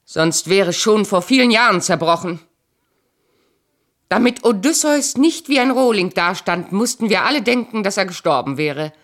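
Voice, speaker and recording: An actress playing a proud, hard, aging Greek wife in a German radio play, commanding, curt and bitter. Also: female voice, mid-range voice, animated, normal speed, fairly bright tone, close to an everyday voice. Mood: hard, resolute. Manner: imperious, curt, scornful, then wistful.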